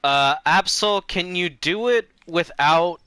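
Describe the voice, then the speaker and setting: A man's voice: high voice, slow, bright, forceful tone, smooth, no echo, clean studio sound. A man chatting casually while livestreaming a game, rambling and circling back over his own sentences. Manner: rambling, easily distracted, repeats himself.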